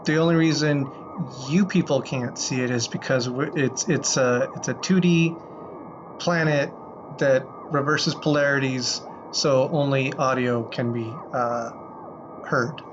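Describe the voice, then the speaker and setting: A man hosting a podcast in a casual, playful way, with rambling, halting delivery. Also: medium pitch, steady tone, noisy background. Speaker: podcast host. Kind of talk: casual chat with playful improvised sci-fi bits. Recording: slightly muffled audio with prominent music.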